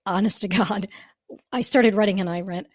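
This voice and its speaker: Hoarse woman interviewer, mid-pitch, chatty, dry close sound. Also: no echo. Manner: chatty, quick to agree and laugh. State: hoarse, losing her voice. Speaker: interview host. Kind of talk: casual interview chat.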